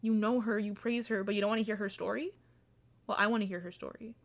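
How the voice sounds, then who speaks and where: A woman's voice: medium pitch, steady tone, fast talker, lightly acted. A woman playing an earnest, defiant young Rwandan student filmmaker in a radio play, speaking resolutely and pointedly. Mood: earnest, pleading.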